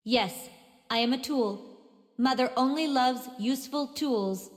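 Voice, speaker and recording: A woman's voice: high voice, a little slow. A woman voicing a naive, obedient, emotionally detached young living weapon in an anime game dub, earnest and stilted. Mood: submissive. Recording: long hall reverb, music underneath.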